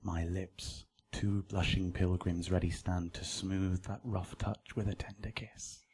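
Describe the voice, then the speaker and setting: An actor playing an ardent young romantic lover, delivering measured Shakespearean verse in a radio drama. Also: male voice, low-pitched, little pitch movement, darkish tone, slight background noise. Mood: tender.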